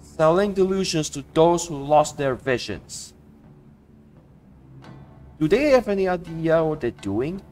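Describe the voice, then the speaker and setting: Higher-pitched man, animated gameplay commentary. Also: unhurried, long pauses, soft background music. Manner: offhand, reacting aloud. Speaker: game streamer.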